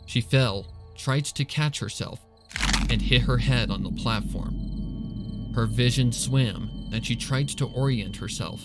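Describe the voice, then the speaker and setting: A man reading a story aloud as a narrator, in an expressive, suspenseful, dramatic style. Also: mid-range voice, slow, over music. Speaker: fan fiction narrator.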